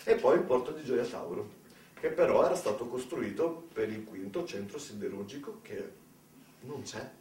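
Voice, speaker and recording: A man's voice: mid-range voice, animated, normal speed, a little mellow, a little hiss or hum. An Italian man performing a satirical theatre monologue in an ironic, colloquial way, full of rhetorical questions and asides. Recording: echoey room, distant microphone.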